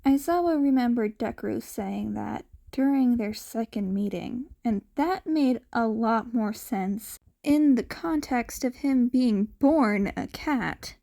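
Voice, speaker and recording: A woman's voice: a little high, lively intonation, takes it slowly, vocal fry, hardly any pauses, mellow. A woman narrating a piece of fiction from a script, as an audiobook-style reader. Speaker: podfic narrator.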